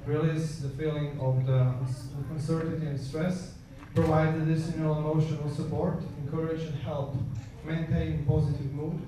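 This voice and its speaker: A man with a Croatian accent presents to a conference in English, his second language. His delivery is hesitant, full of fillers and restarts, in a somewhat high voice. He sounds far from the microphone in a very echoey hall, with steady background noise. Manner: halting, many fillers and restarts.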